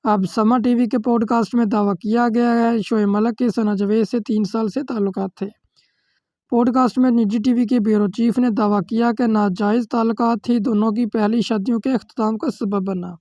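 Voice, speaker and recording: Pakistani lady reading gossip news script, highish mellow voice, steady, really dry close recording. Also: normal speed, dry recording. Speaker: news narrator. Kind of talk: scripted celebrity news voice-over.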